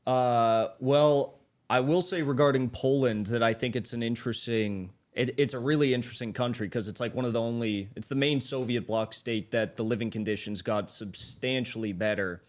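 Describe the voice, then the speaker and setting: A man's voice: mid-range voice, moderate pace. A man as a livestream guest, arguing politics in a hesitant way that restarts phrases often.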